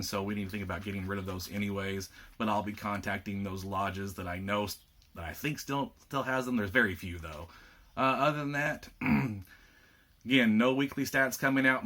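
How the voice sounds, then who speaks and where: A man with a slightly rough voice, giving a casual video update to lodge officers, plainspoken with frequent fillers. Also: fairly low pitch, a bit bright. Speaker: state lodge administrator giving members an update.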